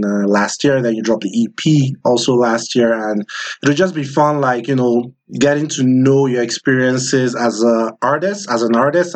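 A man's voice: medium pitch, darkish tone, breathy edge. A man from Nigeria hosting a casual podcast interview, joking and teasing his guest, laughing often and repeating his words. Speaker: podcast host. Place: home studio in Denver.

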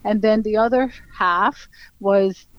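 A middle-aged woman with a Venezuelan Spanish accent gives an earnest personal account as an interview guest. She talks at a middle pitch, leans on fillers and acts out what others said. The recording is dull and lo-fi, with a dry sound and no room echo. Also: takes it slowly. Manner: earnest, many fillers, acts out quoted speech.

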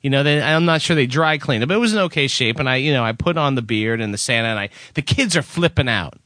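A man's voice: medium pitch, animated, fast, pushed and bright, dry recording. Nasal, whiny man telling a rambling story. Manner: rambling, self-deprecating, laughs often. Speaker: podcast co-host. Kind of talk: casual chat and storytelling.

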